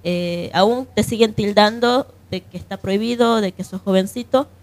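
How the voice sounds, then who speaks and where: A woman's voice: mid-range voice, normal speed. A woman with a Bolivian accent speaking conversationally in an unscripted radio discussion, often checking for agreement. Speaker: radio panelist.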